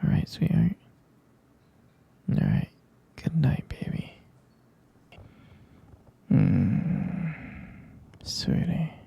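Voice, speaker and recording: A man in an ASMR roleplay as a tender vampire boyfriend, whispering soothingly and haltingly to help his partner sleep. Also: fairly high pitch, expressive, vocal fry, breathy edge, dull audio. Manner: soft, halting, soothing whisper with murmured hums. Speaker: ASMR performer.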